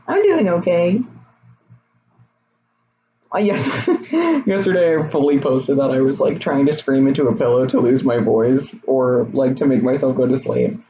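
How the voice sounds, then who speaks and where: Slightly raspy young man, candid vlog. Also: on the high side, mellow. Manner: candid, rambling, hesitant with many fillers. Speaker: vlogger. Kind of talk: casual personal vlog. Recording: slightly dull, faint noise.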